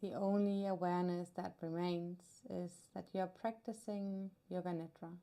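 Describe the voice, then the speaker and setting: A woman guiding a meditation as a yoga nidra teacher, soothing and unhurried, leaving long pauses between her phrases. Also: on the low side, steady tone, warm tone. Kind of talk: guided meditation narration.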